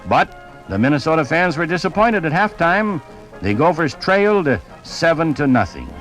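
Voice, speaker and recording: A man's voice: a little high, very animated, moderate pace, fairly bright tone, faint music behind, poor audio. A man delivering scripted sports narration in the style of a 1950s radio broadcast.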